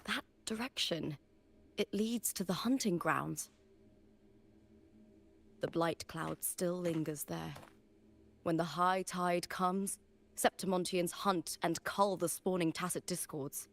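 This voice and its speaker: A woman's voice: on the low side, takes it slowly, vocal fry, long pauses. A woman voicing a proud, earnest young gladiator in a video game, with a heartfelt, reverent delivery. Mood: serious. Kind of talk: voiced game dialogue.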